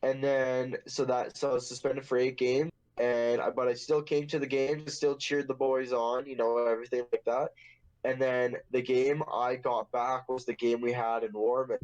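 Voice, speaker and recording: A man's voice: mid-range voice, flat delivery, moderate pace, a little mellow, poor audio. A young man hosting a casual podcast, telling a story in a rambling, profane, joking way. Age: young adult. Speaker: podcast host.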